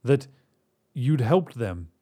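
A man voicing an ancient male god, desperate and weary, who pleads in a booming voice. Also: sing-song, takes it slowly, dark tone. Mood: pressing.

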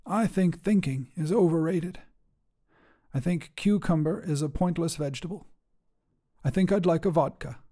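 A man's voice: on the high side, normal speed, warm tone, pitched above the performer's own voice, close to an everyday voice. A man voicing a weary, defiant middle-aged mother, drily matter-of-fact about her terminal illness, in a storybook reading. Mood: dry, wry.

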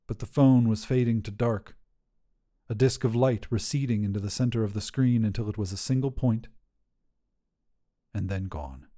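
A man's voice: on the low side, normal speed, long pauses, dark tone. A man reading scripted material as the narrator of a fiction podcast.

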